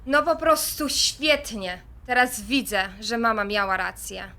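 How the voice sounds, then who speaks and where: Impulsive grown-up daughter, high sharp voice, sarcastic, confrontational, audio drama. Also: female voice, a little slow. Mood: angry, reproachful. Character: impulsive, defiant adult daughter confronting her father.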